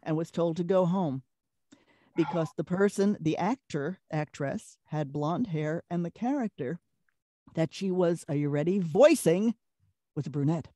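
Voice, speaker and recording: A middle-aged woman with a Brooklyn accent and a deeper voice, telling lively anecdotes in a casual interview and laughing often. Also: a little slow, dry recording. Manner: animated storyteller, laughs often. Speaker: voice actress, guest.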